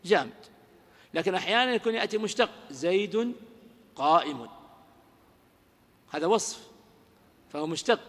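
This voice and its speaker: A man teaching grammar in a lecture, quizzing his listeners with rhetorical questions and reciting verse lines slowly. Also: sits high, fairly bright tone. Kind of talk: lecture explaining a classical grammar poem. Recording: lecture audio with long hall reverb.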